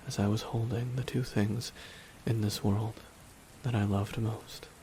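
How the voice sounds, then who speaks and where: A man playing a grieving, resigned supervillain in a dramatic ASMR roleplay, speaking a slow, deliberate, solemn monologue with long pauses. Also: fairly low pitch, level tone, mellow, slight background noise. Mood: bitter, brooding. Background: rain falling.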